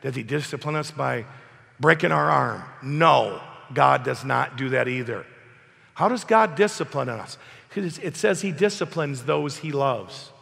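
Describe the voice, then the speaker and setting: A man preaching an emphatic sermon while hoarse from a cold, asking his congregation questions. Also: medium pitch, animated, measured pace, pushed and bright, long reverb tail. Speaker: pastor. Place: church hall.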